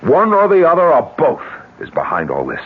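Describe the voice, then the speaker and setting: An actor playing a blunt, practical businessman with a husky voice and a forceful, combative manner in an old radio drama. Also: on the high side, wildly swooping pitch, fairly quick, heavily acted. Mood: determined. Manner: forceful, emphatic, rhetorical. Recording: tinny, narrow old radio recording.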